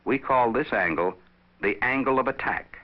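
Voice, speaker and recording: A man reading scripted instructional narration for a training film in a measured, explanatory, didactic manner. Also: medium pitch. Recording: dull vintage training film soundtrack.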